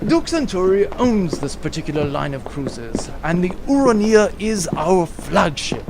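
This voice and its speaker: A man's voice: high voice, sing-song, measured pace, background noise, exaggerated voice. A man voicing a stiff, overly polite male steward on a luxury cruise ship in a comedy audio drama.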